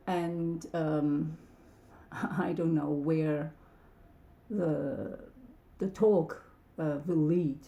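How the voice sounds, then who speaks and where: A woman's voice: sits low, gravelly, mellow, reverberant. A woman whose first language is Turkish, talking casually to her channel's viewers, hesitant and full of ums and restarts. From Turkey. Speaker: channel host. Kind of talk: casual talk to camera.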